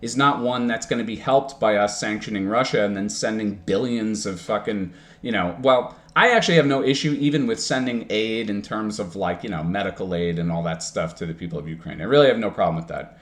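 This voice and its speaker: A man's voice: fairly low pitch, brisk, hardly any pauses. A young man from the United States arguing heatedly in an online debate, swearing often and cutting in on the other speaker. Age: young adult. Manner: profane, combative, interrupting.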